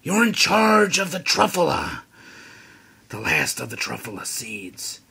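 A man's voice: on the high side, very animated, a little slow, vocal fry, sharp tone, breathy edge. A man reading a rhyming children's book aloud in a theatrical, emphatic way. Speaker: storybook reader.

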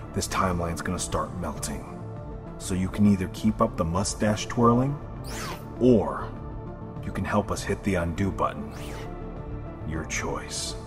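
A man playing a stern, determined robot leader in an animated drama, firm and no-nonsense. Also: low voice. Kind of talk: dramatic animated-film acting.

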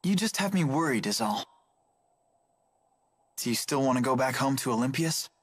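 Earnest teen boy hero for a game, slightly husky, dry sound; man acting. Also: mid-range voice, animated, normal speed, a bit bright, no room sound. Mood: earnest, unsure. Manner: earnest, youthful. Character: earnest, gentle teenage boy, caring and hesitant.

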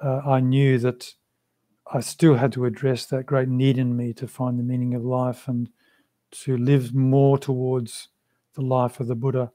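A man, answering interview questions in a measured, thoughtful way over a video call from a small room. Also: mid-range voice, flat delivery, dark tone, no room sound. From Australia. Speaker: interview guest, Buddhist practitioner. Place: small room with a shrine, indoors.

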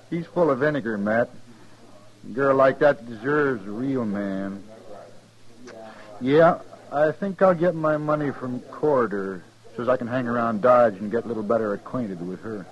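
Man playing a slow-talking bitter gunslinger. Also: mid-range voice, muffled recording, lightly acted. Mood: taunting, cold. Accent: American. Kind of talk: 1950s radio western acting. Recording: noisy 1950s radio recording.

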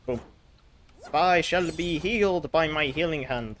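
A woman's voice: low voice, unhurried, a little hiss or hum. A woman playing a team game over voice chat, exclaiming and reacting to what happens. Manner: lively, exclaiming at game events. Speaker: teammate. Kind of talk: casual gaming chat. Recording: game music behind voice. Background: game music.

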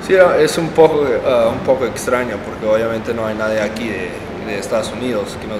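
Young texan guy, halting w/ lots of "ah", sounds far off in an echoey noisy room. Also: mid-range voice. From El Paso, Texas, United States. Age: young adult. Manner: halting, with "ah" fillers and English words slipping in. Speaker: basketball player, interviewee. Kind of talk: casual interview answers. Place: indoor room, seated at a table. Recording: echoey room, distant mic, noise.

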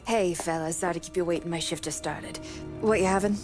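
Actress as a brisk, friendly waitress. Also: female voice, on the low side, music in the background, lightly acted. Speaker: video game character. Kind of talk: cinematic drama acting.

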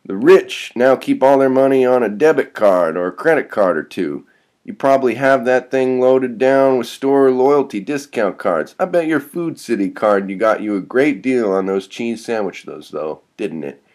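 A man's voice: mid-range voice, expressive, normal speed, darkish tone, pitched above the performer's own voice, natural voice. A man voicing a sardonic, mouthy vagrant in a story, with a mocking and profane way of talking.

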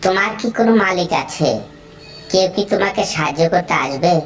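A man's voice: high-pitched, lively intonation, moderate pace, fairly bright tone, faint music behind, voice pushed up. A man voicing a clever cow in a cartoon story, in a raised, taunting voice. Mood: worried. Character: frightened but clever cow, female-sounding.

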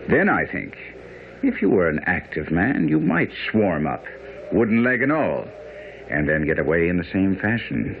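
A dull, slightly hissy radio recording of a brisk master detective in a mystery play. His low voice ranges widely as he lectures with precise confidence. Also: big pitch swings, gravelly, exaggerated voice. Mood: brisk, instructive. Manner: didactic, precise, commanding. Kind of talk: old-time radio drama acting. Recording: muffled, noisy radio broadcast.

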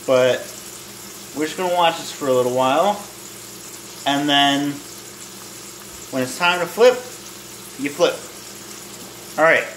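A man in his twenties casually demonstrating home cooking to camera in a halting, rambling, self-deprecating way. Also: on the high side, a bit bright. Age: 20s. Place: small home kitchen and dining room. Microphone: handheld or propped phone near arm's length. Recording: roomy echo, kitchen noise with sizzling.

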